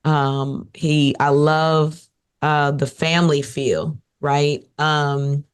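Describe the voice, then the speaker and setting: A woman with a raspy voice, talking casually and playfully about her husband on a podcast. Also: deep voice, very slow. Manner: animated, playful, teasing. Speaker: podcast guest, wife. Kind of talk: casual chat.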